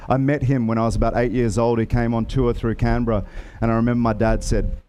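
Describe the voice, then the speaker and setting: A man's voice: mid-range voice, flat delivery, quick pace, warm tone, a little hiss or hum. A man telling stories from his life as an author on a festival panel, reflective and with frequent fillers. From Australia. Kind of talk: relaxed storytelling.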